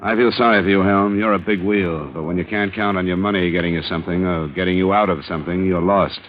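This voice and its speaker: An actor playing a hard-boiled insurance investigator who also narrates, cool and terse, in 1950s radio drama style. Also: male voice, deep voice, steady tone, quick pace, slight background noise, close to an everyday voice. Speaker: detective and narrator. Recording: old lo-fi radio broadcast with music.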